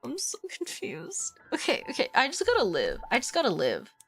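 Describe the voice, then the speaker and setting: A young woman streaming a romance game, congested from a cold, reacting excitedly between stretches of reading the game text aloud. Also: sits high, moderate pace, vocal fry, soft background music. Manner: excitable, swearing, swings between loud outbursts and quiet reading. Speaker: streamer and vtuber.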